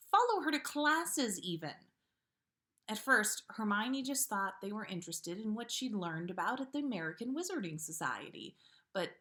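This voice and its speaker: A woman with a mid-pitched voice hosts a story livestream. Her delivery is informal and expressive, suited both to casual chat and to reading fiction aloud to listeners. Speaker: podcast host reading listener stories.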